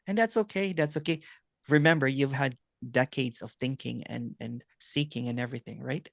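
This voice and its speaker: A man speaking as a spiritual teacher in a relaxed conversation, often asking "right?" and "you know what I'm saying?". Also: mid-range voice, normal speed, vocal fry, no echo, studio quality. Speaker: non-duality teacher.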